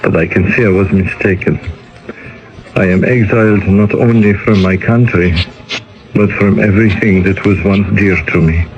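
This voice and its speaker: An actor playing a wounded, resentful exiled husband in a radio drama, halting and plaintive. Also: male voice, low voice, steady tone, natural voice. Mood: hurt, bitter. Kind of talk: 1980 radio drama acting. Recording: muffled old radio recording.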